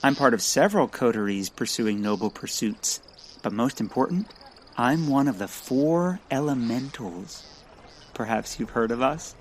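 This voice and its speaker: A man performs an eager, naive gentleman scientist in a period comedy audio drama. He speaks in flowery, pompous phrases with bouncy, animated pitch, over faint ambient noise. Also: normal speed, close to an everyday voice, poor audio. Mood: proud, cheerful. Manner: pompous, chirpy, flowery wording. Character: cheerful, eager, naive Victorian gentleman bird enthusiast.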